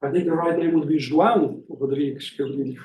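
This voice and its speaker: A man's voice: a little high, a little fast, darkish tone, a little hiss or hum. A man giving spontaneous academic answers, explaining his points and often checking in with "right?". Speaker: academic presenter answering questions. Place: indoor conference room. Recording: echoey room, distant mic.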